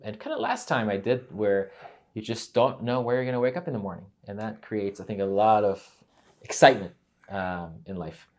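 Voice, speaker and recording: Low-voiced rambling man, guest. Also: normal speed. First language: Hebrew. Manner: loose, rambling, many fillers and restarts. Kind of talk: casual interview conversation.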